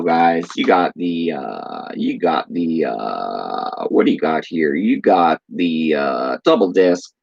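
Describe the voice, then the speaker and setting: A man's voice: deep voice, gravelly, darkish tone, highs cut off. A man hosting a casual video chat, reading box blurbs aloud and talking about his collection while losing his voice. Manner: reads box blurbs aloud in a chatty, offhand way. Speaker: channel host. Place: room at home.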